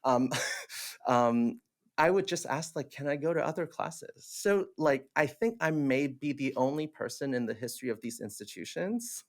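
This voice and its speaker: A man with a gravelly, fry-edged voice at a middle pitch. He is from Hong Kong, a Cantonese native, and chats animatedly as a theatre director on a podcast, laughing often and restarting his sentences. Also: big pitch swings, moderate pace. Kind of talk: casual podcast conversation.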